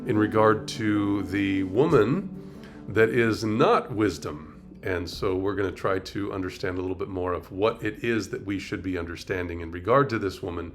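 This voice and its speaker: Low-voiced middle-aged pastor teaching. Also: male voice, normal speed, faint music behind. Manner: asks rhetorical questions and breaks down word meanings. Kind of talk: conversational Bible study teaching.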